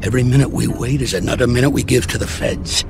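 An actor playing an older American mob boss in a crime game, calm, blunt and menacing, with a gravelly voice. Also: male voice, mid-range voice, noisy background, lightly acted. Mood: stern, threatening. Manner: blunt, deliberate, threatening. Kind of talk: cinematic crime game acting.

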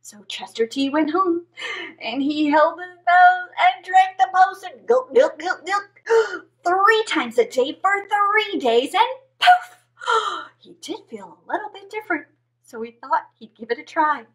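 A woman's voice: very high voice, animated, unhurried, a bit bright. A woman in her thirties hosting a children's story time, telling tales with exaggerated expression. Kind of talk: animated storytelling for young children.

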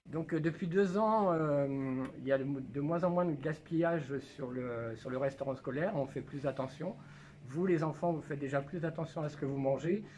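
Middle-aged canteen cook talking to kids, lots of euh, kinda high voice, bit of background hum. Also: male voice, normal speed, a little mellow. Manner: plain, patient, with many fillers. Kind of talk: explaining his work to children. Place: school canteen kitchen and dining room. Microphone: camera held by children nearby.

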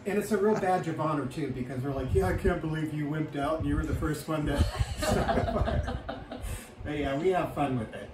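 A man's voice: a little high, fairly quick. A man in his fifties giving a casual, matter-of-fact tour of his small wood-paneled home, recorded by a camera a few feet away. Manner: plain, matter-of-fact explaining with frequent ums. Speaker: homeowner giving a house tour. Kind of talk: casual house tour talk. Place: small wood-paneled tiny house indoors. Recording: roomy, slightly distant with faint hiss.

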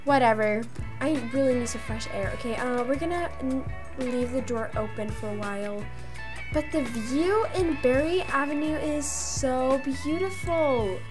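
A woman's voice: high-pitched, unhurried. A young woman with a girlish, chatty manner, narrating a voiced roleplay gameplay video. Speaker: gaming video creator. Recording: voice over background music. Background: music.

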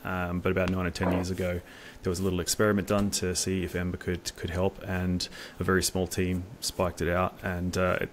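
A man's voice: sits low, monotone, a little fast, hardly any pauses, background noise. A middle-aged man talking as an engineer in an informal technical interview on a video call, often repeating words. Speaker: staff software engineer, interview guest. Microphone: video call, wireless earbuds.

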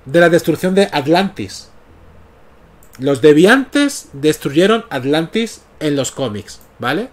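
A man in his thirties with a Canarian Spanish accent, chatting casually on a comics livestream through a headset mic in a small room at home. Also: a little high. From Canary Islands. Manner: chatty, often checks in with "¿vale?". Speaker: comics streamer. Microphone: gaming headset mic.

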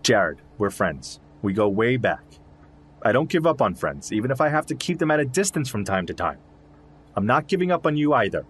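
A man's voice: medium pitch, very animated, brisk, husky. An actor playing a concerned, loyal male zoologist friend in an audio drama, speaking earnestly and reassuringly.

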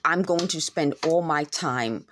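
A woman's voice: sits low, a little slow, fairly bright tone. A middle-aged woman who has just woken up, with a scratchy throat, talking earnestly and repetitively into a phone camera at home. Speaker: vlogger. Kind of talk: rambling to-camera monologue. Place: indoors at home. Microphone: phone camera held close.

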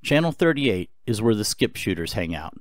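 A man narrating an explainer in a calm, explanatory way. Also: fairly low pitch, moderate pace, heavy background noise. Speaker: host. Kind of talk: scripted narration.

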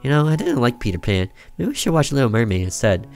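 A man's voice: medium pitch, very animated, fast talker, soft background music, voice pushed up. A man voicing a nervous young boy who coaxes his sister in a visual novel game. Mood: coaxing. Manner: coaxing, hesitant. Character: nervous, coaxing older brother, a young boy.

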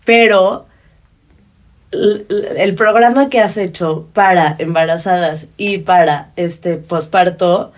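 A woman's voice: fairly low pitch, slow pace. A woman in her thirties with a Mexican Spanish accent, hosting a casual podcast conversation at a studio microphone. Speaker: podcast host. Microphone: studio microphone on boom arm.

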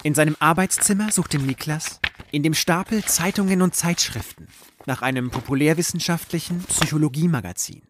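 A man reading scripted literary narration for an audio drama in a measured, deliberate storytelling manner. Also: on the high side, noisy background.

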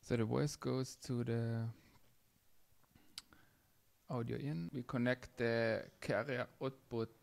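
A man presenting a step-by-step software demonstration in a halting, piecemeal way. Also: mid-range voice, steady tone, gravelly. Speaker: tutorial presenter. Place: indoor screencast voice-over.

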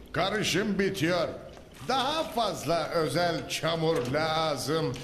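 Cartoon villain: grandiose sewer czar,, high-pitched and gloating, roomy echo with score underneath. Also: male voice, fairly bright tone, a little hiss or hum. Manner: gloating, theatrical speeches. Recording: echoey reverb with music.

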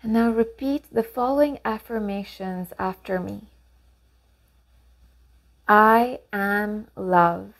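A woman leading a guided meditation, giving gentle, measured instructions with pauses between phrases. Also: mid-range voice.

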